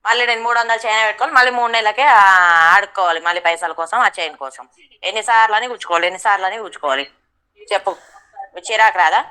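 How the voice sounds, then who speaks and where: A woman's voice: mid-range voice, fast talker, pushed and bright, clear, resonant tone. A woman with a Telangana accent in a casual phone conversation, talking bluntly and argumentatively. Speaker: friend on the receiving end of a prank call.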